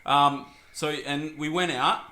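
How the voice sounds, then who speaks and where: A man's voice: a little high, takes it slowly, bright, forceful tone. A man hosts a casual podcast, joking and rambling with lots of fillers. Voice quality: husky. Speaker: podcast host. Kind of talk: casual chat.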